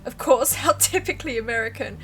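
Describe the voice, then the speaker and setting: A woman's voice: a little high, a little fast, fairly bright tone, a little hiss or hum, close to an everyday voice, low-quality recording. A woman voicing a snobbish, sassy French female cat in a video game, haughty and disdainful.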